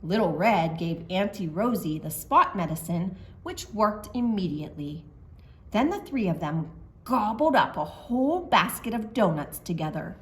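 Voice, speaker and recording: Animated, unhurried woman storyteller for children. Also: mid-range voice. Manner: expressive, explaining simply to children. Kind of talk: animated storytime narration and simple craft explanation for children.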